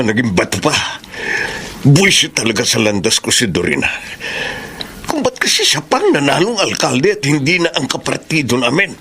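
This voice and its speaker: A Filipino actor playing a scheming, resentful town councilor who puts on deference, in a radio drama. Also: male voice, mid-range voice, vocal fry, a bit bright, a little hiss or hum. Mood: bitter, vengeful.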